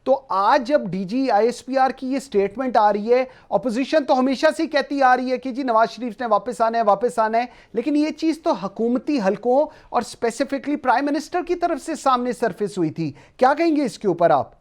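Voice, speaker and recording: A woman's voice: a little high, expressive, normal speed, few pauses, darkish tone. A woman with a Pakistani accent presenting a political talk show and putting questions to guests.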